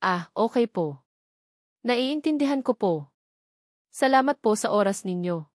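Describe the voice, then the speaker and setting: A woman's voice: a little high, animated, unhurried, no room sound, clean studio sound. A woman voicing an anxious, self-doubting young woman from an island, timid, in an audio story. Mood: meek, polite.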